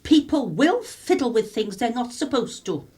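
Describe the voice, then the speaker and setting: Actress as nosy female neighbour, indignant, scolding, highish pitch, very animated, radio drama. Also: moderate pace. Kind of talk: radio play acting.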